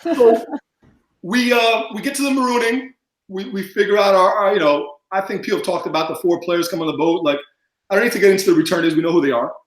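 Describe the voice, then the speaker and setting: Fast-mumbling man, high-pitched. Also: roomy sound, low-quality recording. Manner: rambling with many fillers. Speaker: guest. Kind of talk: casual podcast chat.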